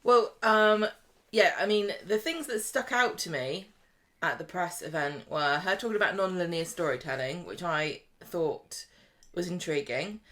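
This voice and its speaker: Lowish-voiced woman chatting on a podcast. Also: fairly bright tone. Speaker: podcast co-host. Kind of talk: casual chat about a TV soap.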